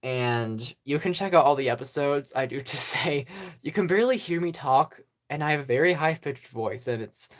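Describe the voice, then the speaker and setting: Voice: mid-range voice, expressive, normal speed. Rambling, giggly channel host. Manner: rambling and hesitant, many ums, frequent small laughs; self-deprecating about own past work. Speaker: stop-motion channel host. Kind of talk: casual rambling channel update.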